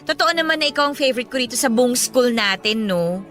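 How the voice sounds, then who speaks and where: Self-assured Filipino campus queen, high bright voice, gushy and blunt, radio drama over music. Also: animated, a little fast. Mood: confident, flattering. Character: popular, confident, blunt older schoolgirl, gushing and vain. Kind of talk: radio drama acting in casual Taglish. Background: background music.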